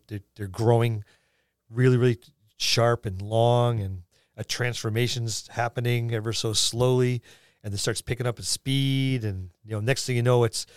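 A man's voice: mid-range voice, a little mellow, no echo, studio quality. A man co-hosting a podcast, talking casually about tabletop gaming. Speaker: podcast co-host.

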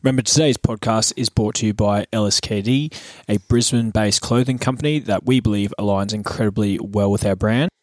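Low-voiced man, podcast co-host. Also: fairly even intonation, fairly quick, hardly any pauses, no room sound. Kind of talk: casual podcast chat.

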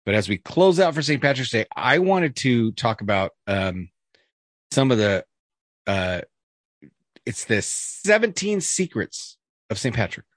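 A man's voice: mid-range voice, sing-song, a little slow, fairly bright tone, dry recording. A man co-hosting a casual podcast chat, reading a list aloud with jokey asides, fillers and restarts. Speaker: podcast co-host.

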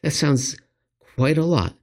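A man reading a written financial commentary aloud, with deliberate phrasing and drawn-out emphasis on certain words. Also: fairly high pitch, lively intonation, a little mellow.

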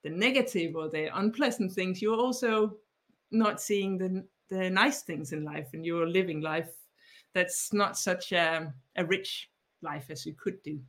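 A woman's voice: on the low side, measured pace, fairly bright tone. A woman in her fifties with a Danish accent, giving thoughtful interview answers as an author and mindfulness teacher. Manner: thoughtful, explaining at length.

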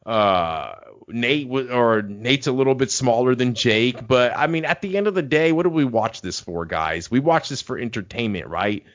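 A man's voice: mid-range voice, expressive, normal speed, lo-fi sound. A man co-hosting a casual podcast, talking in a laid-back, rambling way with lots of fillers. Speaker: podcast co-host. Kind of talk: casual chat.

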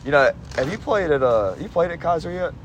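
A man's voice: on the high side, expressive, fairly quick. A man hosting a casual sports podcast interview, laid-back and slangy. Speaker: podcast host. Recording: clear background noise.

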